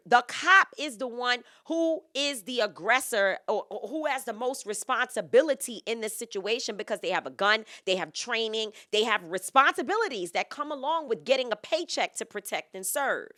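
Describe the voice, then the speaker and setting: A woman's voice: fairly high pitch, expressive, normal speed, few pauses, sharp tone. A woman co-hosting a discussion show, making her points emphatically and repeating phrases for stress. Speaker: podcast co-host. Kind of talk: impassioned discussion.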